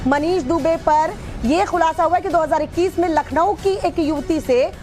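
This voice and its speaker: A female news anchor speaks formally and steadily in a high voice. Faint music sits beneath her. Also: normal speed, darkish tone. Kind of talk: news presenting.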